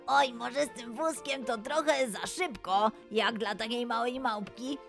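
Woman voicing a gentle, slow-speaking little boy animal. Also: a little high, creaky, soft background music, voice pushed down. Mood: encouraging. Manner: gentle, reassuring, measured. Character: caring, patient young friend Lolek, male animal child. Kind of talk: children's storybook acting.

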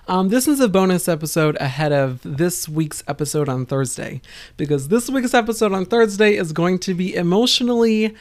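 A woman with a low-pitched voice chats casually as a podcast host. Her voice is raspy and worn out. She rambles and pauses often as she talks. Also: darkish tone. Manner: rambling, halting, with frequent pauses. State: tired voice.

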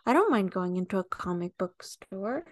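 A woman's voice: mid-range voice, very animated, moderate pace, dry recording, clean studio sound. A woman co-hosting a podcast in casual chat, laid-back and teasing, often laughing. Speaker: podcast co-host.

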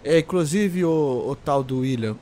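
Blunt, questioning man, slightly high. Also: lively intonation, unhurried, mellow. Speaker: player.

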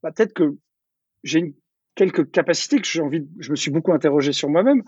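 Middle-aged man, fast and husky, chatty interview guest who restarts his phrases, dry dull sound. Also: a little high, lively intonation, a little mellow, dry recording. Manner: talkative, many restarts and repeated words. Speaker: entrepreneur interviewee. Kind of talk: casual conversational interview.

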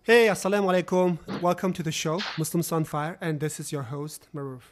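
A man hosting his podcast, welcoming a guest with loose, informal phrasing and quick questions. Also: on the high side, steady tone, faint music behind. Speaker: podcast host. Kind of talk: casual interview hosting.

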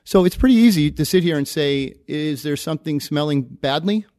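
A man's voice: on the high side, animated, normal speed, a little mellow, no room sound, clean studio sound. A man, a physician guest, answering interview questions with many fillers and restarts.

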